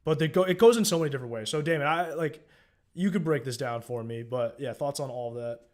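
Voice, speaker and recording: A man's voice: medium pitch, fast talker. A young man co-hosting a casual TV recap podcast, retelling scenes with lots of fillers and restarts. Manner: animated storytelling with many fillers and restarts. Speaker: podcast co-host.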